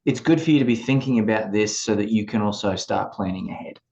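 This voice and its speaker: A man in his forties with an Australian accent, giving a measured, explanatory talk to students as an admissions advisor over a video call. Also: on the low side, creaky, darkish tone, slight background noise. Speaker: law school admissions advisor. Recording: dull lo-fi video call audio.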